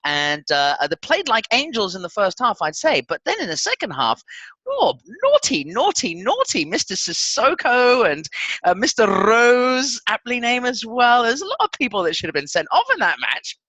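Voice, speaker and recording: A man's voice: very high voice, huge pitch swings, normal speed, sharp tone, no echo, rough recording. A man co-hosting a football podcast with casual banter in a teasing, jokey, theatrical way.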